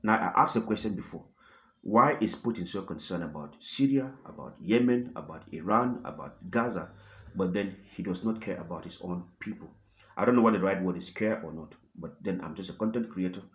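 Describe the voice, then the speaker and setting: A man who works as a content creator, giving dramatic, storytelling news commentary to viewers with emphatic pauses. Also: deep voice, a bit bright, highs cut off.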